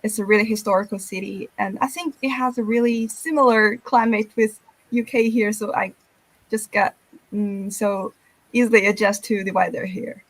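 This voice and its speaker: A young woman from northern China, a postgraduate student, speaking in simple, soft-spoken phrases. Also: medium pitch, fairly even intonation, moderate pace, no room sound, poor audio.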